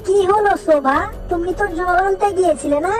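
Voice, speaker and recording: A woman's voice: extremely high pitch, soft background music. A woman voicing a poor young village boy who talks earnestly and anxiously. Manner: earnest, anxious, quick questions.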